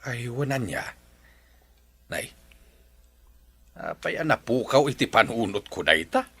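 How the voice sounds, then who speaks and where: A man playing a stern, gruff elderly grandfather with an Ilocano accent in a radio drama. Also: medium pitch, big pitch swings, measured pace, sharp tone, no room sound, heavily acted. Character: stern older grandfather, husband.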